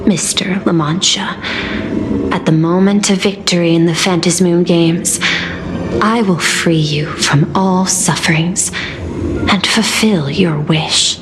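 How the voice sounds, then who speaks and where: A woman voicing a calm, calculating machine intelligence in a video game, formal and precise, with a courteous air of superiority. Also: lowish voice. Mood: formal, assured. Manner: formal, precise, measured diction. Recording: music behind the voice.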